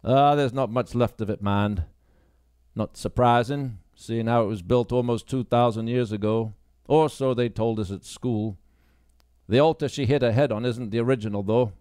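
A male narrator plays a wartime policeman in an audiobook mystery. He is brusque, matter-of-fact and quick to dismiss, in a dry, close recording. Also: mid-range voice, darkish tone, smooth, no room sound, natural voice.

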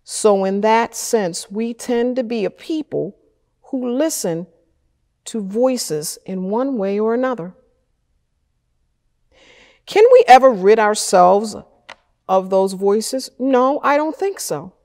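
A middle-aged woman pastor preaching a sermon from a church lectern, with slow, measured delivery and deliberate pauses. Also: a little high, expressive, a little mellow. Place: wood-paneled church chancel, at a lectern. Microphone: fixed camera at a distance. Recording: church with a long reverb tail.

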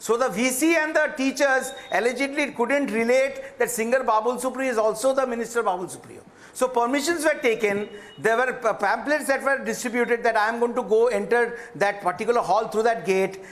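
A man's voice: very high-pitched, fairly quick, few pauses, a bit bright. An Indian man, a government minister, defending himself insistently in a heated TV debate. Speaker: central minister, debate guest.

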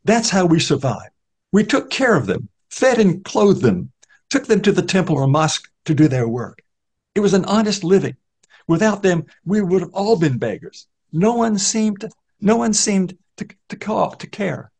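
A man voicing a blind, ragged male spirit in a dark underworld, contemptuous and pained, spluttering and pleading to be left alone. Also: sits high, sing-song, moderate pace, breathy edge, voice pushed up, exaggerated voice. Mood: defensive.

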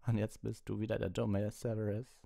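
A man's voice: lowish voice, big pitch swings, fast, mellow, dry recording, studio quality, voice pushed up. A man voicing a haggard fugitive, wry, defiant and urgent, in an audiobook. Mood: cool mockery.

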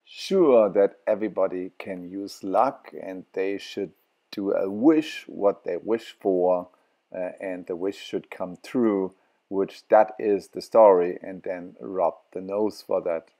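Slow, deep-voiced man with halting speech. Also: mellow. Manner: halting, many fillers and restarts. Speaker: travel vlogger. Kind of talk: walking tour narration.

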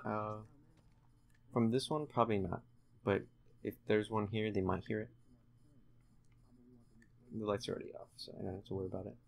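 A young man giving low-key, explanatory commentary while playing a video game at home. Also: fairly even intonation, normal speed, vocal fry, long pauses, darkish tone. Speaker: gaming channel host playing the game. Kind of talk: casual let's play commentary. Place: room at home.

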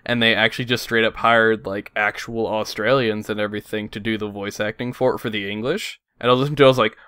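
Rambling, low-voiced man on a podcast. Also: steady tone, fast talker, bright, forceful tone, clean tone, no echo. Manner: rambling, swears casually, many fillers. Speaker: podcast host. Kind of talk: casual chat.

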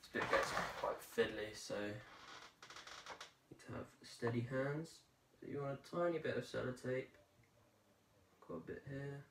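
A young man giving a casual hands-on tutorial, talking haltingly as he works with his hands. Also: mid-range voice, vocal fry, fairly bright tone. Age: young adult. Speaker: tutorial presenter. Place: small home bedroom at a desk, indoors. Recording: noisy room echo with clicks.

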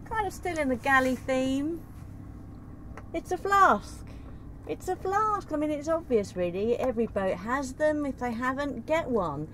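A middle-aged woman with a slightly high voice chats brightly and emphatically about handy kitchen gear. She sits outdoors on a sailboat. Steady wind and water noise run clearly beneath her. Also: a little slow. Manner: bubbly, emphatic. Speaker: sailing vlog co-host. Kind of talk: casual chat presenting gear. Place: cockpit of a sailboat at anchor, outdoors.

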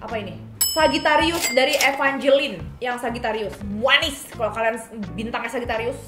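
High, expressive young Jakarta woman. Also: a little fast. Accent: Indonesian, Jakarta. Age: young adult. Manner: expressive, slangy, thinking aloud. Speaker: beauty vlogger reviewing perfumes. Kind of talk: casual chatty product review to camera. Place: indoor room, seated at a table. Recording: roomy echo, music behind.

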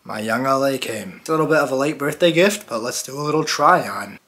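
A man's voice: mid-range voice, animated, fairly quick, bright, forceful tone. A teenage man talking casually to the camera in a vlog, blunt and swearing as he rants. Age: teens. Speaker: vlogger. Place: indoors at home, close to camera. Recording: hip hop music behind.

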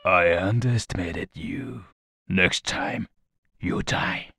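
An actor playing a sinister, theatrical demonic ninja villain in a video game, mocking and deliberate. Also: male voice, sits low, creaky, over music, full character voice. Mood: mocking, menacing. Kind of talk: video game cutscene acting.

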